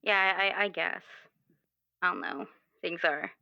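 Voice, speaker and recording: A woman playing an earnest, questioning young character in improvised roleplay, speaking haltingly with many repeated words. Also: fairly low pitch, very animated, vocal fry, pushed and bright, no echo, muffled recording, full character voice. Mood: wistful, unsure. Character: earnest, questioning twenty-year-old, restless with their assigned role. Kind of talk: improvised actual-play roleplay dialogue.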